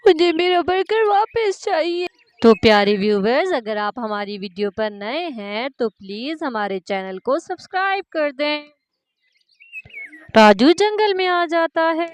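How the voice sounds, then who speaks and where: High-voiced, slow woman narrator. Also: lively intonation, smooth. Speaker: cartoon narrator. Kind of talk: scripted children's story narration. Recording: music behind the voice.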